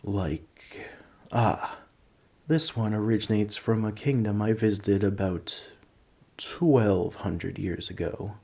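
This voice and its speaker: A man voicing an ancient, gentle, nerdy dragon in an ASMR roleplay, rambling in a politely formal, scholarly way. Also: fairly low pitch, slow, gravelly, slightly rough, full character voice. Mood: fond, musing. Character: ancient, gentle, nerdy male dragon who collects coins. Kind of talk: scripted ASMR roleplay, speaking to the listener.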